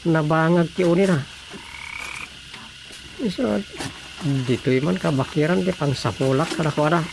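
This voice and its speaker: An actress playing a bumbling, bewildered frog catcher, colloquial and exclamatory, in a Filipino comedy radio drama. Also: female voice, deep voice, a little slow, gravelly, noisy background. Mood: musing.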